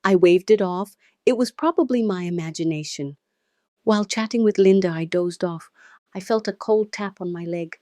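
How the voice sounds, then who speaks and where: Low-pitched Nigerian woman narrating, mellow and dry. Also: normal speed, no room sound. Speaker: storyteller. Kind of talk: dramatic first-person story narration.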